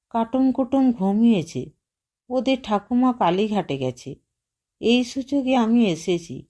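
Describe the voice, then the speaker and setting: Lady reader doing young housewife in a story, bitter n pleading, slow soft mellow voice, wont back down. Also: fairly high pitch. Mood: desperate pleading. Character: anguished, resolute young housewife, bitterly proud.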